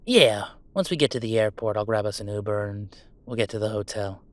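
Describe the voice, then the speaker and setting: A man's voice: on the low side, a little fast, dry recording, close to an everyday voice. A man playing a chatty, affectionate, well-off gamer in a roleplay audio drama, talking casually and reassuringly in a rambling, wry way. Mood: reassuring, explaining. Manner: casual, rambling, self-interrupting, wryly reassuring. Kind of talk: intimate roleplay audio acting, one-sided conversation.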